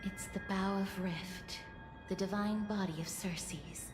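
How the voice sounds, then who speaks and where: A woman playing a calm, learned young woman. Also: mid-range voice, lightly acted. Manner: measured, formal and thoughtful. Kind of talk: game voice acting. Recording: roomy with game music.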